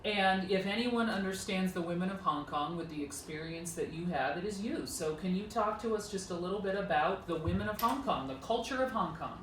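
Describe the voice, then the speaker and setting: A woman's voice: lowish voice, expressive, a little fast, hardly any pauses. Woman hosting, easing the panel along, echoey and far off. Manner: encouraging, with brief "mm-hmm" prompts. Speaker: host. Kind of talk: moderating a panel. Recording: roomy, from off-mic, with background noise.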